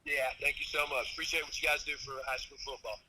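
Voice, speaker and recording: Halting football coach with a bright voice. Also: male voice, on the high side, low-quality recording. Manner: halting, repeating phrases as he searches for words. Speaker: high school football coach. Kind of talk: live interview. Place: on a bus, calling in remotely.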